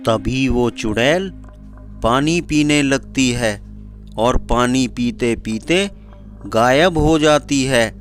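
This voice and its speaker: A man narrating a scripted horror story in a measured, deliberate manner. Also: mid-range voice, faint music behind.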